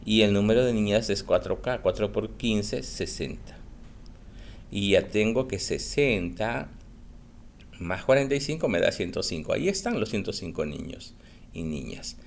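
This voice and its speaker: A male school teacher giving a recorded math lesson for children, explaining slowly and patiently and answering his own questions. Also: fairly low pitch, vocal fry, a little hiss or hum.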